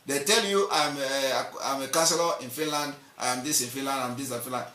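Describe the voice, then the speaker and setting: A man's voice: mid-range voice, a little fast, bright, forceful tone, recorded from a distance. A man with a Nigerian accent giving an impassioned, repetitive political monologue, mocking and emphatic. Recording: roomy echo, off-mic.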